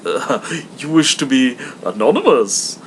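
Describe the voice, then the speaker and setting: A man voicing a courteous, earnest gentleman collecting for charity in an audiobook, formal and a little hesitant. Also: mid-range voice, big pitch swings, measured pace, a little hiss or hum. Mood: appealing. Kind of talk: dramatized audiobook character voice.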